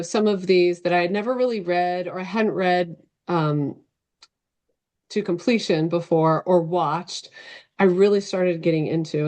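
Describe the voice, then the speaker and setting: A middle-aged woman talks as a guest on a video call, her voice a little on the low side. She explains things informally, circling back and rephrasing as she goes. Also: darkish tone. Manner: informal, loosely structured, self-correcting. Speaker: interview guest, novelist. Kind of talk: casual interview answer. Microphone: video call microphone.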